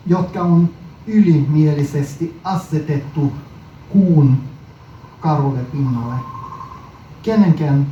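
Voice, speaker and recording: A man reading his own poem at a live indoor performance, deliberately and in short phrases with frequent pauses. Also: on the high side, dark tone, roomy sound. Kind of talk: poetry recital, performance reading. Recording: muffled, noisy live recording from far off.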